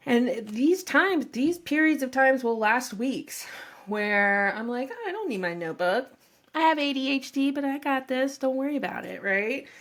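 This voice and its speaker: A woman's voice: on the high side, fairly bright tone. A middle-aged woman with a head cold, rambling shyly through the intro of her home vlog, with many fillers and asides, recorded on her own microphone in her home office. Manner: shy and nervous. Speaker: vlogger introducing her channel. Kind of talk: casual talk to camera.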